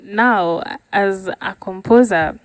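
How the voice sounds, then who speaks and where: A woman's voice: medium pitch, takes it slowly, dry recording. A woman with a Kenyan accent telling her story casually as an interview guest. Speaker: guest, sound engineer and musician.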